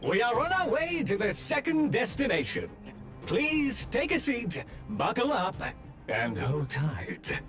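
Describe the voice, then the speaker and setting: High, swooping polite robot guide. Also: male voice, wildly swooping pitch, takes it slowly, a bit bright, full character voice. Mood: formal, informative. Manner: formal, servile, official announcer phrasing. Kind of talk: scripted video game dialogue. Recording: game audio with faint music behind.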